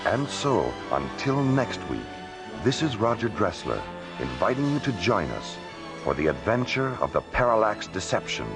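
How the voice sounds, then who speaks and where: A man delivers scripted radio drama narration with measured gravity. His low voice moves expressively over loud music. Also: very animated. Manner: measured, portentous.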